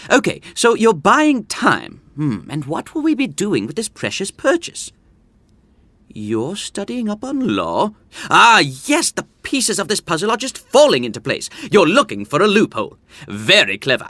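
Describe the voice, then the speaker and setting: A man's voice: high-pitched, huge pitch swings, measured pace, pushed and bright, no echo, heavily acted. An actor playing a theatrical, condescending older brother in a comedy audio drama, savoring his words as he meddles. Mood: gleeful scheming. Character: theatrical, smug, meddling older brother and self-appointed schemer. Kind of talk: modern romantic comedy audio drama acting.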